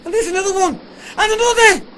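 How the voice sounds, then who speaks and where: A man playing an urgent, excited sailor on lookout in a radio play, exclaiming over a noisy background. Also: very high-pitched, fast. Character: excited lookout sailor scanning the sea for survivors.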